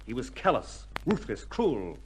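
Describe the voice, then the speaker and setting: A faintly hissy vintage radio drama recording carries an urgent, upper-class gentleman. His mid-range voice is lively in pitch, clipped and emphatic. He is formal and earnest, pressing his case. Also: a little slow. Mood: pleading, indignant. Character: urgent, earnest titled gentleman pleading for an innocent friend. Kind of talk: old-time radio drama acting.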